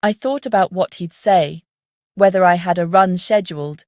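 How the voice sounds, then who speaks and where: A woman narrates a dark psychological story in the first person. Her voice sits on the low side, and the sound is very dry, with no room around it. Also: moderate pace, no echo.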